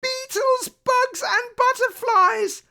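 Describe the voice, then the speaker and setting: A woman voicing an excitable, stubborn, fretful little girl in a children's storybook, with childlike, insistent emphasis. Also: very high-pitched, takes it slowly, vocal fry, voice pushed up, heavily acted. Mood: distraught. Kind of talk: children's storybook read aloud.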